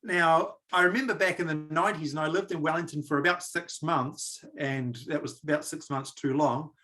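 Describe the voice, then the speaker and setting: Chatty New Zealand man hosting, fairly high. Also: fairly even intonation, moderate pace, bright, forceful tone. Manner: chatty, rambling, self-deprecating. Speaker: podcast host. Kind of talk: casual podcast chat.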